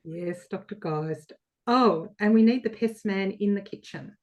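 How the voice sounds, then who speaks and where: Woman playing a brisk, medium-pitched hospital assistant. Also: darkish tone, muffled recording, natural voice. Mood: matter-of-fact. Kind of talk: comic stage play reading.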